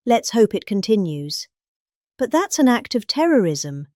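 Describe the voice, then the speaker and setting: A woman's voice: medium pitch, very animated, measured pace, a little mellow, no echo, studio quality. A middle-aged woman with a British accent giving a dramatic reading of a rambling political speech, with exaggerated emphasis.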